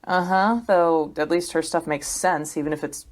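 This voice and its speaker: A woman's voice: low voice, steady tone, moderate pace, close to an everyday voice. An actress playing a wry, uneasy woman academic in an audio drama, speaking in a hesitant, understated way. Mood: wry, casual.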